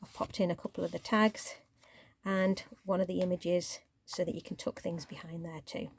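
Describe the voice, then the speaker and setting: A woman hosting a crafting channel, chatting casually through her project, a little croaky and sometimes dropping to a near-whisper. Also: fairly low pitch, moderate pace. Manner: chatty, relaxed show-and-tell, drops to near-whisper while handling paper. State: croaky voice, clearing throat.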